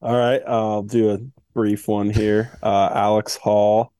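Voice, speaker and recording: A young man who grew up in Switzerland, answering interview questions in a relaxed, easygoing way with many fillers. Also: fairly low pitch, takes it slowly, smooth, no echo. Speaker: guest, pro skier.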